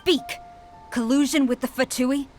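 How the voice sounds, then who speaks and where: A woman's voice: high-pitched, fairly even intonation, a little slow, darkish tone, faint music behind. An actress playing a stern, dutiful female military commander who gives formal, clipped orders in a video game.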